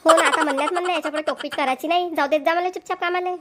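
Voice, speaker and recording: A headstrong, talkative village mother from a cartoon comedy, acted by a woman. Her voice is very high, and she argues back in colloquial Varhadi Marathi. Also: fairly even intonation, very fast, fairly bright tone. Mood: defiant. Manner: argumentative, colloquial.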